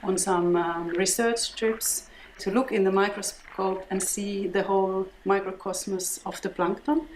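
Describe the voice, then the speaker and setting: A visual artist woman from northern Finland, talking thoughtfully in an interview, pausing often with fillers. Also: lowish voice, echoey room, slight background noise, rough recording. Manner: thoughtful, hesitant with many fillers. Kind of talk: documentary interview.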